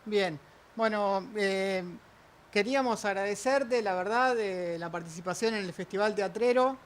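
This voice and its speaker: High-voiced Argentine man hosting. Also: a little slow, a little hiss or hum. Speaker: festival host, interviewer. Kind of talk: informal thanks and closing remarks.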